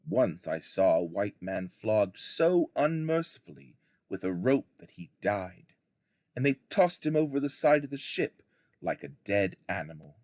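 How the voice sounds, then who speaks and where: A man reading a memoir aloud as a teacher would, with deliberate, dramatic phrasing. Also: lowish voice, darkish tone, no room sound, clean studio sound. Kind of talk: expressive audiobook narration of a memoir.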